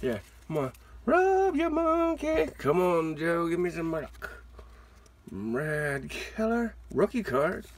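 Animated man opening cards, wide-swinging high voice. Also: slow pace. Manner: exuberant, exclaiming and whooping, playful. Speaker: host of a trading card opening channel. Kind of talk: excited casual card-opening commentary. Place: indoors, at a table with cards.

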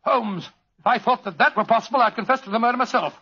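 A loyal, flustered British sidekick blusters in a high, sharp voice. It is a vintage radio drama with muffled sound. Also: male voice, fast, slightly rough, full character voice. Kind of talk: 1940s radio acting. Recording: muffled old-time radio recording.

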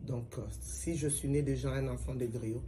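A middle-aged woman from Mali, a singer and griot, giving measured, reflective interview answers with pauses. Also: a bass voice, fairly even intonation, background noise. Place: plain indoor room, seated at a table.

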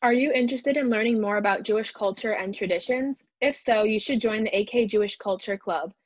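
Club pitch by young woman, upbeat, dry lo-fi mic. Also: a little fast, no echo. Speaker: student club member.

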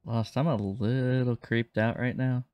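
A man's voice: mid-range voice, steady tone, measured pace, no echo, lo-fi sound. A man improvising in a game roleplay, chatting in a hesitant, bemused manner. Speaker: roleplay streamer playing a police lieutenant.

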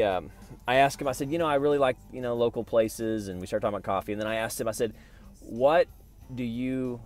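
A man's voice: medium pitch, darkish tone. A man vlogging in a casual, rambling monologue, digressing often and talking in slangy, profane everyday speech.

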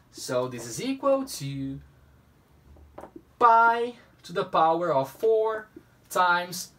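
A man's voice: high voice, a bit bright, distant mic. A young man from Brazil with a Brazilian Portuguese accent, Portuguese his first language, working a math problem on a whiteboard and playfully talking to himself. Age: young adult. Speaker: math tutor. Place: small room at a whiteboard. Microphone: camera mic at a distance.

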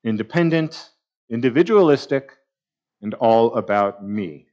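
A man's voice: medium pitch, animated, measured pace, a little mellow. A man in his thirties preaching a sermon at a church pulpit, conversational and wry with sarcastic asides. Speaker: pastor. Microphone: pulpit mic, far camera. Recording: slightly dull church livestream audio.

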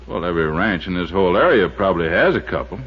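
An actor playing a folksy, practical, easygoing fishing buddy in a 1950s radio drama. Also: male voice, low voice, lively intonation, fast talker, husky. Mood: matter-of-fact, wary. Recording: dull, very noisy 1950s radio recording.

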